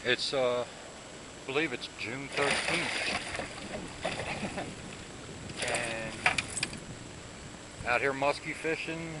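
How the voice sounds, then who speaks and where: A man commentating to the camera while fishing from a small boat on a river, excited and laughing. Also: mid-range voice, drawn-out words, long pauses. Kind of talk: casual on-the-water commentary. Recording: loud wind and engine noise.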